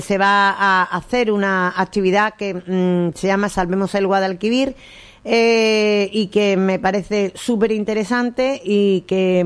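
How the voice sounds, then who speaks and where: A woman's voice: mid-range voice, smooth. A woman, hosting a local radio chat in a rambling, teasing way. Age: 60s. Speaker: radio host.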